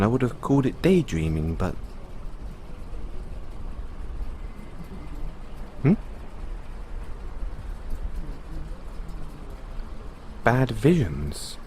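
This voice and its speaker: A British man playing a kindly, chatty owner of a rescued android in an audio roleplay, speaking gently and hesitantly to the listener with small stammers. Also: medium pitch, a little hiss or hum, natural voice. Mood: concerned, curious.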